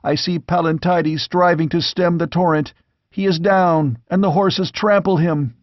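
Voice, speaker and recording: A high, breathless young squire shouts out a battle as it unfolds, voiced by a man reading a storybook aloud. His frantic, urgent delivery stays well above the reader's own pitch. The recording is dry and close, with a dull, lo-fi tone. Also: steady tone, a little slow, no room sound, natural voice. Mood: anguished.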